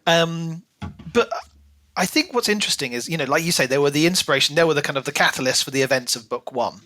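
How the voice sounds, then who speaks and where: Bright-voiced man in casual book discussion. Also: on the high side, expressive, fast. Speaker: podcast co-host.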